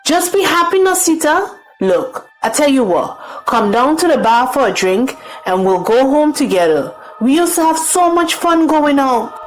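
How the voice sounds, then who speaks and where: A woman's voice: sits high, sing-song, moderate pace, hardly any pauses, faint music behind, pitched above the performer's own voice, poor audio. Lady doing a cocky caribbean field worker guy, festive and persuasive, folklore audio play. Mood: coaxing. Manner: boastful, breezy, persuasive. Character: festive, boastful young working man of the Caribbean.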